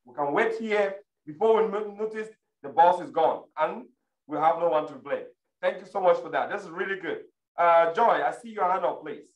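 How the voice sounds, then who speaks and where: A man's voice: sits high, normal speed. A man hosts an online discussion in an earnest, exhorting way. His voice sounds a little distant and echoey, as if he sits away from the mic on a video call. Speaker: webinar host.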